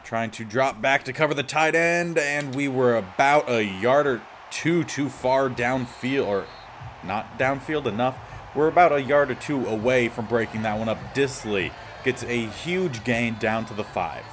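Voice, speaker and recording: A man with a slightly raspy voice giving casual gameplay commentary as the host of a gaming series. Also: medium pitch, a little slow, fairly bright tone, slight background noise.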